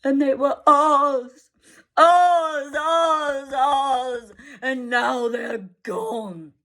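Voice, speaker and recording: A woman's voice: high-pitched, very slow, a bit bright, pitched above the performer's own voice, full character voice. A woman reading a storybook aloud, voicing a fussy father who rants and turns sarcastic in a temper. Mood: mournful.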